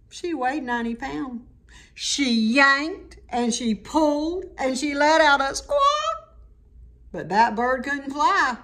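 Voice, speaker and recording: A woman's voice: a little high, a bit bright. A woman reading a rhyming children's story aloud with dramatic, emphatic expression. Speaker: storybook reader.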